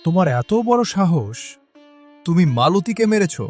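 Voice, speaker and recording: A man's voice: sits high, big pitch swings, normal speed, faint music behind. A man playing an arrogant, harsh husband in a cartoon story, commanding and indignant. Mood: angry. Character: harsh, arrogant husband banishing his wife. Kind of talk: cartoon moral story acting.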